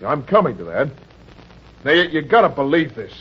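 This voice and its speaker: An actor playing a frightened, down-on-his-luck husband and father in old-time radio crime drama, with an American accent, a husky voice and stammering, pleading delivery. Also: on the high side, very animated, normal speed, full character voice. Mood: anxious confessing. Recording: dull, lo-fi old radio recording.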